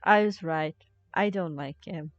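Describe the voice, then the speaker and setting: A woman's voice: sits low, unhurried. A woman streaming a video game with casual, rambling commentary, half talking to herself. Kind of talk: casual live gameplay commentary. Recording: dry close sound, slightly dull band.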